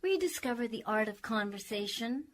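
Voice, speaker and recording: A woman's voice: a little high, expressive, moderate pace, slight background noise, lightly acted. A woman playing an earnest, exasperated mother in a radio comedy, prim and scolding. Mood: disappointed, earnest. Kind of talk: sitcom-style radio comedy acting.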